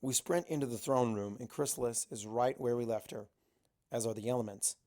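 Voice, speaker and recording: An amateur reader narrates a fantasy story in the first person. The voice sits at a middling pitch, in a plain audiobook style. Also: a little fast, darkish tone. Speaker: amateur fan fiction narrator.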